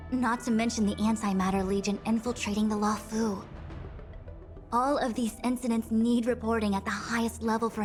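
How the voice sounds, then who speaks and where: A woman voicing a dignified, authoritative young diviner and acting general in a video game, speaking formally and with measured eloquence. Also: on the high side, natural voice. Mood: composed, businesslike. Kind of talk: video game character dialogue.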